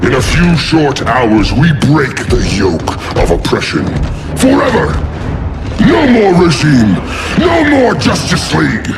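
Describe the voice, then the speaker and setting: Gravelly gorilla warlord. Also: male voice, big pitch swings, slow pace, exaggerated voice. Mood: triumphant. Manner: booming rally shouts, gravelly and commanding. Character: menacing male gorilla warlord, domineering. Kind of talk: game cutscene voice acting. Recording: loud music behind.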